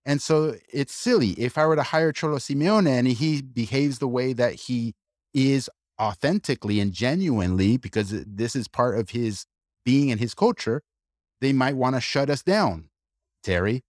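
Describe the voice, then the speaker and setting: Male co-host, argumentative and lively, dry close-miked sound. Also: medium pitch, dry recording. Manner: animated, argumentative, quick asides with restarts. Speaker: podcast co-host. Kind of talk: casual opinionated discussion.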